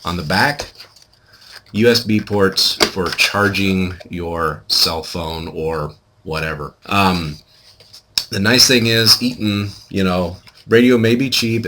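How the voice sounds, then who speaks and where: A man's voice: low voice, sharp tone, slight background noise. A middle-aged American man giving a casual product review in a chatty, self-deprecating, emphatic way. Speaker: home video blogger.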